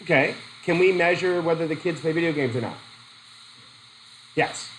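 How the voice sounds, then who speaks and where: A middle-aged man with a cold teaches a methods class, his voice sitting a little high for a man. He stops and restarts his sentences as he puts questions to the students. A faint hiss runs under the classroom recording. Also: normal speed, fairly bright tone, poor audio. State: has a cold. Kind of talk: classroom lecture. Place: classroom with a whiteboard.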